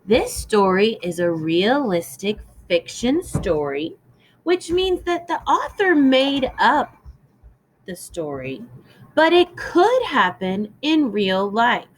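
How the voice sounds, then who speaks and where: A woman reads to young children as a teacher would in a lesson. Her pitch moves up and down in a lively way, and she takes her time with each phrase. The delivery is deliberate and instructive. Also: slight background noise, poor audio. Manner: instructive, deliberate, prompting listeners with questions. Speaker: teacher reading a story aloud. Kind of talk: read-aloud lesson for young children with explanations and questions.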